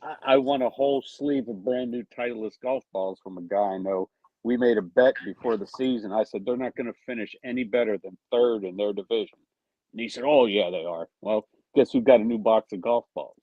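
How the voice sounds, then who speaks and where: Low-voiced man in his sixties, dull lo-fi sound. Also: very animated, a little fast, vocal fry, no echo. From Pittsburgh area, US. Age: 60s. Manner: easygoing, joking, leisurely storytelling. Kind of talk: casual group chat. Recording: dull lo-fi voice chat audio.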